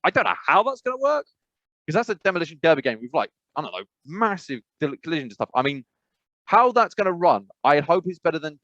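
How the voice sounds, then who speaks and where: A man's voice: fairly high pitch, very animated, quick pace, fairly bright tone, no room sound, highs cut off. A man with an English accent co-hosting a gaming podcast over a video call, talking casually with many restarts and fillers. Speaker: podcast co-host. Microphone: remote call mic.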